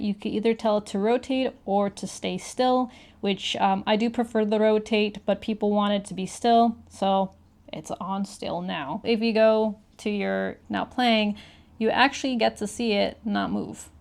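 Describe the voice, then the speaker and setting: A woman's voice: mid-range voice, fairly even intonation, moderate pace, creaky. A woman talking informally as she co-hosts and demonstrates in a casual gadget review.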